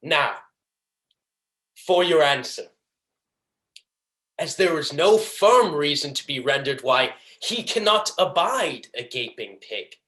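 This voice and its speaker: A young man playing a proud, vengeful merchant villain in a Shakespearean stage monologue, declaiming deliberately and tauntingly. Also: fairly high pitch, lively intonation, sharp tone, full character voice. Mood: taunting, forceful. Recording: echoey room.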